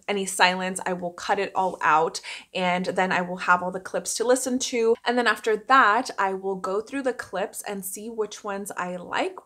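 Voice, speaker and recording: A woman's voice: medium pitch, fairly bright tone. A young adult woman giving a casual, explanatory vlog talk to camera in a small room at home. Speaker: vlogger, voice actor. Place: small home office indoors.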